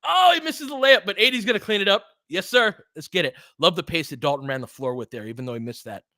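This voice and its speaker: A man's voice: high-pitched, quick pace, no room sound. Man calling basketball, informal and partisan, dry close sound. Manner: partisan, informal, excitable on big plays. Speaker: host doing fan play-by-play. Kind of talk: live basketball commentary and analysis.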